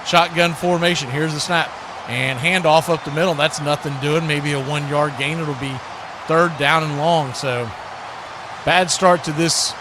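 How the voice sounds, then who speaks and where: High-pitched man calling football play-by-play. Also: steady tone, unhurried. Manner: clipped phrases that build to shouts on big plays. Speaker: play-by-play announcer. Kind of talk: live football play-by-play commentary. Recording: clearly noisy live stream.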